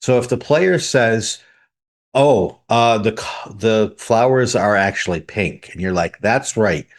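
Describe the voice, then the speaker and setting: A man's voice: fairly low pitch, slow pace. A man hosting a podcast, methodically explaining his points in order. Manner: methodical, lays out numbered points. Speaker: podcast host.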